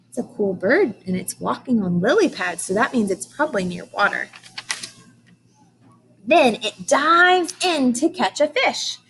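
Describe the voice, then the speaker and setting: A woman's voice: fairly high pitch, fairly bright tone, a little hiss or hum, poor audio. A woman teacher reading aloud to young children, explaining and asking questions in simple, emphatic language.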